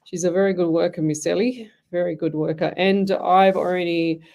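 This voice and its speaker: A woman's voice: lowish voice, steady tone, normal speed, creaky, dark tone. An Australian woman hosting a live radio show as a psychic medium, chatty and rambling, often restarting her sentences. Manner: chatty, rambling, self-interrupting.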